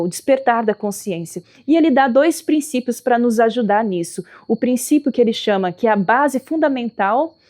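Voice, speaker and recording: A woman's voice: on the high side, a little mellow. A woman teaching about spirituality and politics in an earnest, explanatory manner, stressing her key words and speaking as someone whose first language is not Portuguese. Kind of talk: spoken talk on spirituality and politics.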